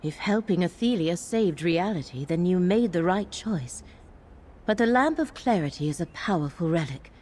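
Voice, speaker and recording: A woman's voice: lowish voice, animated, moderate pace, darkish tone, slight background noise, close to an everyday voice. An actress playing a grave female scholar in a fantasy game, speaking formally and precisely with composure. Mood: concerned, inquiring.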